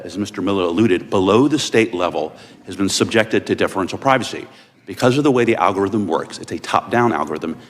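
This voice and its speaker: A man giving formal, explanatory testimony as an expert witness. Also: lowish voice. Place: large indoor hearing room. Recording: reverberant hearing room.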